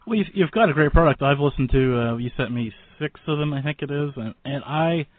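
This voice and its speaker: A man's voice: on the high side, expressive, a little fast, no room sound. A man hosting a casual interview, speaking informally and effusively in a rambling way, with narrow phone-like audio. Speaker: radio show host. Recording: narrow phone-like radio sound, dry.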